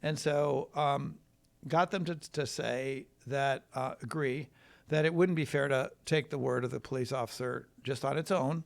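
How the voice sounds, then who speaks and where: An American man from Wisconsin, a trial lawyer telling his story in a casual, rambling, folksy and halting way. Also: mid-range voice, vocal fry. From Wisconsin, United States.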